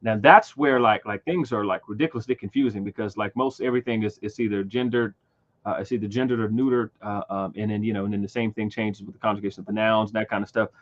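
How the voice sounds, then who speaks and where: A man in his thirties hosting a casual livestream chat, talking haltingly with many fillers and repeated words. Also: lowish voice, fairly even intonation, muffled recording. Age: 30s. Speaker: livestream host.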